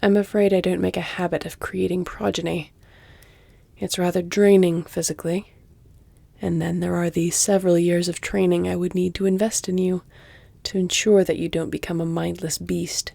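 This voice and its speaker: Woman acting a centuries-old female vampire, audio roleplay, dark mellow tone, very close dry sound, measured, teasing, breathy near-whispers. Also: on the low side, level tone, no echo. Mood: wry, composed. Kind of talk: intimate audio roleplay, scripted dramatic acting. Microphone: close, dry studio-style mic.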